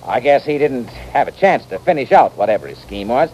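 An actor playing a wry, level-headed American town doctor in a 1950s radio western. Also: male voice, mid-range voice, very animated, brisk, a little mellow, lightly acted. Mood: dry, calm. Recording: muffled, noisy old radio recording.

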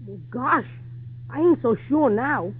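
A man's voice: extremely high pitch, animated, a little slow, slightly rough, full character voice. A male performer playing a wide-eyed, folksy young boy in an old-time radio drama. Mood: hesitant. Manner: folksy, awestruck. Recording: tinny noisy vintage radio recording.

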